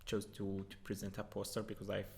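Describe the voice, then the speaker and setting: A young man with a Moroccan accent, a native Arabic speaker, explaining his research in a halting, hesitant way as an interview guest. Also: fairly low pitch, completely flat delivery, creaky, a little mellow. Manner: hesitant, halting, with repeated words. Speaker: doctoral student guest.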